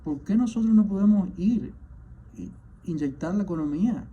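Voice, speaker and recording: A man, a physician, delivering an impassioned spoken message, indignant and firing off rhetorical questions, at times surging louder. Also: high voice, expressive, normal speed, slightly rough. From Cuba. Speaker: physician, rheumatologist. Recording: dull lo-fi sound with faint background noise.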